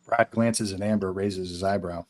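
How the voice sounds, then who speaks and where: A man's voice: deep voice, steady tone, moderate pace, darkish tone. A man reading scene directions as the narrator of a live script table read.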